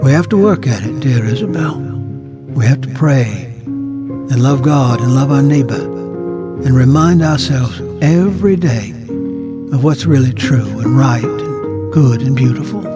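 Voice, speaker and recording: Gentle old grandfather, acted by a man, wise, devout, earnest, measured, medium pitch, audio drama, music behind. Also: expressive, lightly acted. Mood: tender, earnest. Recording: music under the voice.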